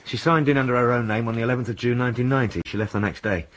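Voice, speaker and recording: A man playing a loyal, quick-talking police sergeant. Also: mid-range voice, slight background noise, natural voice. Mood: concerned. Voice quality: deferential, earnest and direct. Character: loyal, plain-spoken male detective sergeant. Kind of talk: radio drama acting.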